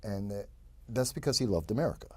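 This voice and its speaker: A man in his fifties from New York, a guest telling his life story in a lively, rambling way full of quick asides. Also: on the low side, husky. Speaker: interview guest. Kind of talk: casual interview storytelling.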